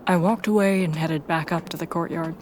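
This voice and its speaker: A woman narrator with a lowish voice reads a scripted story in the first person. Faint noise sits behind her voice. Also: fairly quick, a little mellow.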